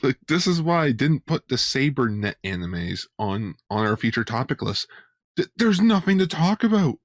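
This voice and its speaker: A man co-hosting a podcast in casual fan chat, often halting and repeating words. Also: medium pitch, very animated, no room sound, clean studio sound. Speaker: podcast co-host.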